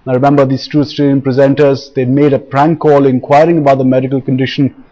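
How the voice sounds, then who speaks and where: A man's voice: mid-range voice, level tone, fast. A man anchoring a live news recap, explaining repetitively with frequent hesitations. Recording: dull, band-limited broadcast audio.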